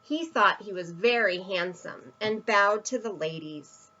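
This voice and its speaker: A woman's voice: medium pitch, unhurried, pushed and bright, highs cut off. A woman reading a children's picture book aloud, expressively, with emphatic stresses and asides to young listeners. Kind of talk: read-aloud children's storytelling.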